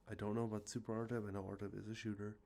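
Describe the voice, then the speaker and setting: A man with a congested, sniffly nose, talking softly and rambling, with many fillers, as an ASMR host showing off games he collected. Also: on the low side, level tone, fast, gravelly. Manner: rambling, many ums and uhs, self-correcting. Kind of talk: soft-spoken show-and-tell about collected video games. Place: outdoors with traffic nearby.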